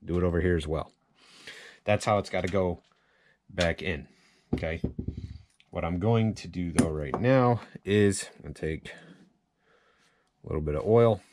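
Very deep-voiced man. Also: measured pace, long pauses, darkish tone, slight background noise. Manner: informal, thinking aloud while working with hands. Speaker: chainsaw repair demonstrator. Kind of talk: casual hands-on tutorial. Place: cluttered indoor workshop at a workbench.

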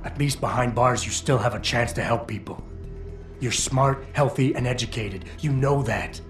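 An actor playing a stoic, determined security operative in a video game, speaking in a measured, terse way. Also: male voice, medium pitch, faint music behind, close to an everyday voice. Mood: earnest, persuasive. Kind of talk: video game cutscene dialogue.

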